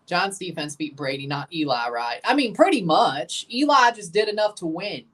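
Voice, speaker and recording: A young man with a low voice hosting a livestream, giving blunt, opinionated hot takes while reacting to a soccer match and answering chat questions. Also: moderate pace, far from the mic. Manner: excitable, jumps high in pitch when agitated.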